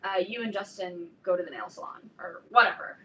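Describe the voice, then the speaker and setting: A woman in a staged reading playing an opinionated, excitable teenage fan club girl, chatty and emphatic. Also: fairly low pitch, fairly quick. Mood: scornful, animated. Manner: chatty, emphatic, peppered with like and literally. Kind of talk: staged reading of a play, naturalistic dialogue. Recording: roomy, distant mic, faint noise.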